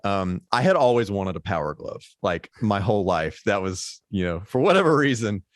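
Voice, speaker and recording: Baritone guy rambling on a podcast, lots of ums, dry close sound. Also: expressive, normal speed, no room sound. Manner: rambling, many fillers and restarts. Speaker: guest musician. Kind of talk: casual podcast conversation.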